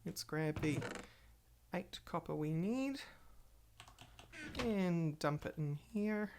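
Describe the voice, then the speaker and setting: A woman's voice: lowish voice, animated, slow pace, background noise. A woman hosting a casual game playthrough, chatting and rambling to viewers while she plays, a little stuffy from a cold. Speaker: gaming channel host. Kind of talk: casual Let's Play commentary.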